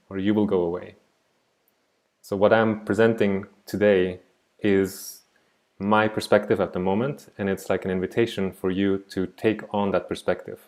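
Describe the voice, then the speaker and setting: A man in his thirties from Switzerland, teaching an online art class in a reflective, digressive way over a laptop mic. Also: lowish voice. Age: 30s. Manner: reflective, digressive, soft-spoken. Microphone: laptop mic on a video call.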